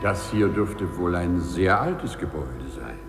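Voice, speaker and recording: An actor playing a polite, eccentric burglar in a formal, insistent, courteous manner, in a 1950s German radio play. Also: male voice, low-pitched, expressive, measured pace, heavily acted. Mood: savouring delight. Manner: formal, insistent, courteous, savouring his words. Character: polite, eccentric male burglar begging to be arrested. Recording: 1950s radio drama, husky sound, faint music.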